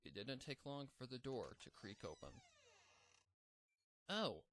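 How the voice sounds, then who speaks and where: A man narrating a dark fan fiction story in a measured, somber reading. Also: mid-range voice, wildly swooping pitch, a little hiss or hum. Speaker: fanfic narrator.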